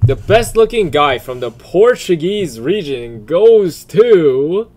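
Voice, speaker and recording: Crude, casual guy with swooping pitch. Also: very animated, takes it slowly, a little mellow. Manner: crude, profane, blunt. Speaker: video creator. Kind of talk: casual off-the-cuff commentary.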